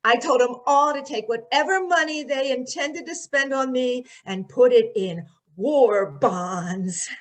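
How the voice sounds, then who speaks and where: Actress as boy-crazy, scatterbrained girl for vintage radio comedy; high pitch, gushing run-on delivery. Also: unhurried, hardly any pauses. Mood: earnest, chirpy. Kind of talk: 1940s radio comedy acting.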